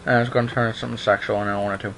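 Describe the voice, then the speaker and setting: Low-voiced young man, noisy home recording. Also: level tone, rapid-fire, a bit bright. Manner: informal, breaks off into offhand remarks. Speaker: online video maker reading a horror story aloud. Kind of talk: amateur dramatic reading with casual asides.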